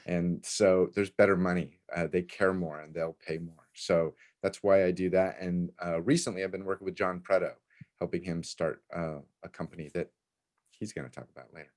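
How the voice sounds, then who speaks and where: A man's voice: deep voice, steady tone, moderate pace, darkish tone. An American man in his fifties introducing himself in blunt, casual talk on a video call. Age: 50s. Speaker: panelist, video editor. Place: indoor home office, on a video call.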